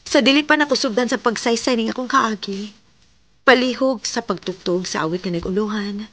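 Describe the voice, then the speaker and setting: A woman's voice: on the high side, brisk, a bit bright. A woman with a Cebuano accent reading formal scripted narration for a radio drama.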